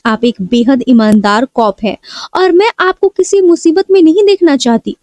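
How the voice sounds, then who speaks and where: An earnest, selfless young woman guarding a child in an audio story, acted by a woman narrator. Her voice is high and soft-toned. It sounds dry and close. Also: animated, normal speed, no echo. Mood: anxious, pleading. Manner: tremulous, earnest.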